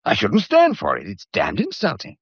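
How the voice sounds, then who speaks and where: An actor with a British accent playing a breezy, blunt, gallant painter in a period radio drama, full of jaunty, slangy, teasing banter. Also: male voice, lowish voice, huge pitch swings, normal speed, slightly rough, no echo, exaggerated voice. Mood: wry, protective. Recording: dull, muffled lo-fi audio.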